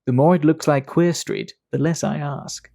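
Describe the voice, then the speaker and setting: A man playing a worldly, talkative Victorian English gentleman in an audio drama, speaking in a measured, gossipy, fastidious way. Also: fairly high pitch, fairly even intonation, vocal fry. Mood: measured, confiding.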